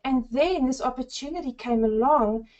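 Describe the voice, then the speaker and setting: A woman in her forties from South Africa with a South African accent, giving an effusive, upbeat sales pitch on a group call. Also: normal speed, a little mellow, dull audio. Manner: effusive, upbeat, addresses listeners as friends. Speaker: network marketing presenter.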